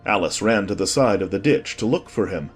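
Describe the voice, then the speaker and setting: A man's voice: sits low, animated, brisk, faint music behind. A man narrating a storybook as a scripted audiobook.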